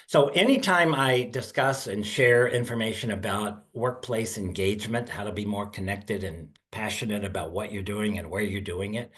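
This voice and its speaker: A man's voice: fairly low pitch, normal speed, few pauses, a bit bright. An older man giving a webinar presentation over a headset on a video call, earnest and full of fillers and repeated words. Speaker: guest speaker. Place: indoor room, video call.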